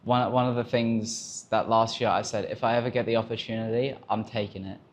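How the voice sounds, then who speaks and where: A man's voice: lowish voice, fairly even intonation, brisk. A young Australian man, a camp counsellor, chatting reflectively in an interview with many fillers. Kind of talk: casual interview answers.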